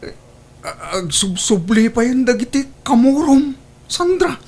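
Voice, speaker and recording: A woman playing an eager, fickle young man with a hesitant, excitable manner in an Ilocano comedy drama. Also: fairly high pitch, measured pace, slightly rough, heavily acted. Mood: concerned. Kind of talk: Ilocano radio-style comedy drama acting.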